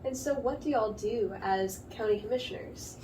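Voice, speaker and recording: A woman's voice: fairly high pitch, normal speed, dark tone. A woman hosting a local news show, presenting and asking interview questions. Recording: distant mic, noticeable background noise.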